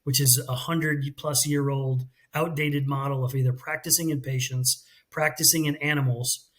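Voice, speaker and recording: A man's voice: mid-range voice, steady tone, moderate pace, a bit bright. A middle-aged American man, a company CEO, explaining his work fluently in a business interview. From United States. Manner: fluent, explanatory, with some restarts.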